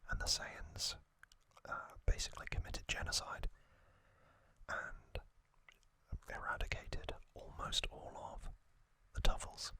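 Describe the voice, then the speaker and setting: Male ASMR host: slow, even whisper, very bright, deliberate short phrases. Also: dry recording. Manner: hushed, deliberate, short phrases with long gaps. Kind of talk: whispered ASMR trivia recap.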